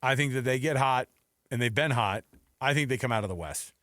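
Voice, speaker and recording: A man co-hosting a sports radio show with teasing, excitable, conversational banter. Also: medium pitch, a little fast, no echo, clean studio sound.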